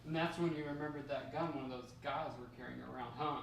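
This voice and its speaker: Higher-pitched man as a curious young grandson, echoey. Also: fairly even intonation, quick pace, vocal fry. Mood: incredulous. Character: curious young grandson, eagerly prompting for the story. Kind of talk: table read of a dramatic script. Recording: echoey room, distant mic, faint noise.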